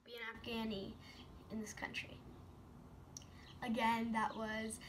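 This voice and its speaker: A woman's voice: a little high, monotone, unhurried. A woman reciting rhymed slam poetry with emphatic, punchy, rhythmic delivery on a noisy amateur recording. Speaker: poet.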